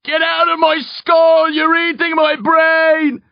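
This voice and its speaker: A tormented man in an audiobook, crying out in terror. The strained voice is pushed extremely high and creaks, with words dragged out slowly. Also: completely flat delivery, no room sound, dull audio, heavily acted. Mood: terrified, screaming. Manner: strained, drawn-out cries. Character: frantic, nightmare-tormented man screaming in terror.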